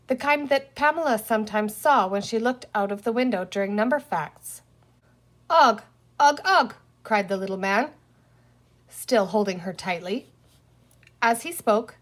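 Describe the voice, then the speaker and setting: A woman reading a children's storybook aloud as narrator. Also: mid-range voice. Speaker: reader, teacher reading aloud.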